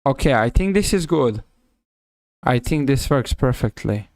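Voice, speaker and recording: A man with a Serbian accent giving live chess commentary on a stream, halting and often rephrasing himself. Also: mid-range voice, animated, a little mellow, no room sound, clean studio sound.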